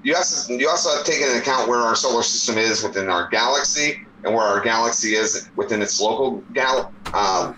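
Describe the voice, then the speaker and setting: A man's voice: mid-range voice, a little fast, hardly any pauses, bright, forceful tone, a little hiss or hum. A man in his forties in a casual debate chat, explaining his points at length. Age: 40s. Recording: roomy echo.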